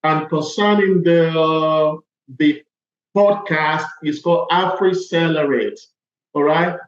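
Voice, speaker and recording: A man's voice: a little high, slow, soft background music. Nigerian man in an echoey, slightly muffled room. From Lagos, Nigeria. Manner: emphatic, punctuates points with "okay" and "all right". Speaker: podcast guest, agile transformation consultant. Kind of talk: conversational interview answers.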